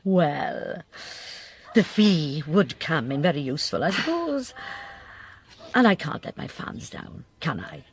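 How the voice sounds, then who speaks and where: An actress playing an ageing Welsh singing diva, boozy, imperious and melodramatic, declaiming grandly in a radio play. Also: female voice, deep voice, very animated, slow pace, long pauses, heavily acted. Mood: smug, playful. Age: older. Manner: grandiose, haughty, dramatic declaiming.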